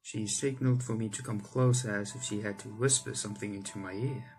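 A man's voice: lowish voice, steady tone, fairly quick, a little mellow, low-quality recording. A young man, a gaming YouTuber, reading visual novel text aloud and adding his own asides. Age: young adult. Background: game music.

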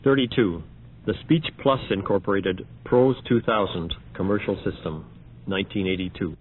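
A man reading scripted announcements for an audio demonstration in a measured, matter-of-fact way. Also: low voice, husky. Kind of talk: scripted announcing of numbered examples. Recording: muffled, phone-like archival recording.

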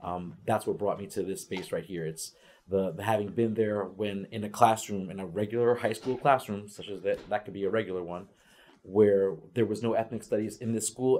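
Guy on a school panel, lowish voice, kinda halting, lots of restarts, room mic from across the table. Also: darkish tone, slight background noise. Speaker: ethnic studies teacher coach. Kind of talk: panel talk to students. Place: lecture room, seated at table. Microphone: far camera.

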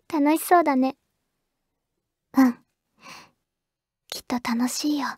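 A woman playing a shy, awkward teenage girl on the phone with her childhood-friend crush, in an anime audio drama. She speaks softly in an intimate, hesitant, stammering way with a breathy, whispery voice. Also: high voice, extremely slow, no echo, clean studio sound. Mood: bashfully pleased.

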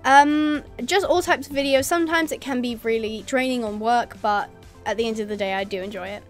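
A woman's voice: fairly high pitch, brisk, soft background music. A young English woman, a YouTuber, chatting casually to camera as she answers viewer comments, with frequent ums and laughs. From England. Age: young adult. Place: indoors in front of a green screen. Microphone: facing camera at close range.

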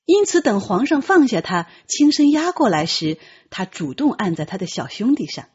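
A woman's voice: medium pitch, sing-song, moderate pace, darkish tone, breathy edge, dull audio. A woman narrating an audiobook as dramatic, scripted storytelling.

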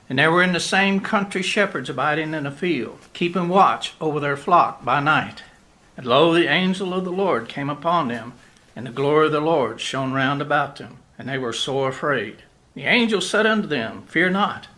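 A man playing a kindly country pastor in a radio drama, measured and reassuring. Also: on the high side, sharp tone. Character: kindly country pastor officiating a Christmas Eve wedding. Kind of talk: radio drama acting, wedding sermon.

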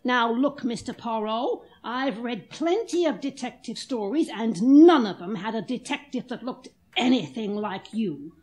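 An unflappable American single woman in an old-time radio play. Her voice is high and very expressive, with unhurried pacing. She is plain-spoken, feisty and quick to take offence. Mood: indignant. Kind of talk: 1940s radio acting.

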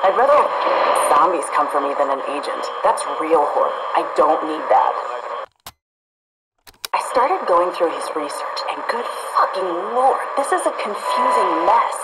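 Low, sardonic weary survivor woman, noisy. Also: very animated, moderate pace. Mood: exasperated, sardonic. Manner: sardonic, conversational. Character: wry, foul-mouthed, weary woman surviving among zombies. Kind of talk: game audio log monologue. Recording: very noisy radio audio log.

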